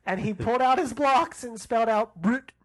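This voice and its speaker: A woman's voice: a little high, normal speed. A woman co-hosting a podcast, telling stories casually in a wry, playful way. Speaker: podcast co-host. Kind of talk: casual chat.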